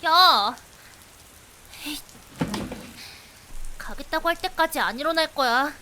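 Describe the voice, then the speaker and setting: A woman playing a persistent young female investigator in a visual novel, playful and sulky, with a wheedling, pouty, expressive delivery. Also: high-pitched, slow. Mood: insistent, evasive. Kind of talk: visual novel voice acting, anime-like drama. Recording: hiss with steady rain behind.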